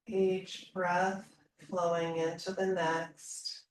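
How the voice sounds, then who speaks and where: A woman leading a slow guided meditation in a calm, soothing manner, leaving long pauses between phrases. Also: lowish voice. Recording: roomy echo, distant mic, faint noise.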